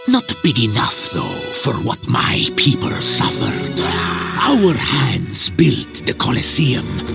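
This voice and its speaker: Proud, resentful game warrior: extremely creaky rasp, drawn-out phrases, wide dramatic swings, threatening. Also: male voice, mid-range voice, exaggerated voice. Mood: bitter. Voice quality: gravelly, breaking into harsh whisper. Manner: menacing, deliberate, proud. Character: fierce, greedy, resentful warrior from an enslaved people. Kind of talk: video game cutscene narration.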